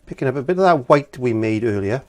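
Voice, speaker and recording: A middle-aged man from Wales with a croaky voice from laryngitis, talking plainly step by step as he teaches acrylic painting. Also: mid-range voice, animated, quick pace, a little mellow. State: hoarse from laryngitis. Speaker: art teacher. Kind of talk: painting tutorial.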